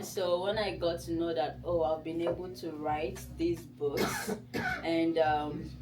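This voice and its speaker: Woman, Ghanaian accent, native Nzema speaker, low pitch, informal author talk, conversational storytelling, distant microphone. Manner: conversational storytelling, voices her own past thoughts. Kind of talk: informal personal talk to a book club. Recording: distant mic in a room.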